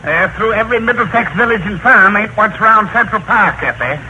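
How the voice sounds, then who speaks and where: Gruff rustic husband, piercingly bright. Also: male voice, high-pitched, animated, fairly quick, heavily acted. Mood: grumbling. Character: rustic, sore, gruff colonial husband with a gun. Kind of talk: 1930s radio comedy acting. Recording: dull, slightly noisy 1930s radio recording.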